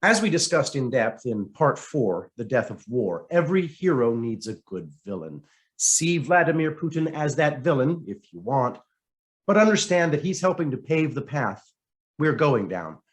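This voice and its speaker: Man reading an essay with dramatic, deliberate pauses. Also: medium pitch, sing-song. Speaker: reader of a written article. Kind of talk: scripted narration, reading an essay aloud.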